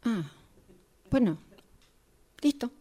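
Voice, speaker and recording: A woman's voice: on the high side, animated, very slow, warm tone, husky, full character voice. A woman improvising a radio drama part as a sociable mother making tentative, awkward small talk. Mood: chatty, awkward. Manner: tentative, chatty. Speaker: radio drama workshop participant.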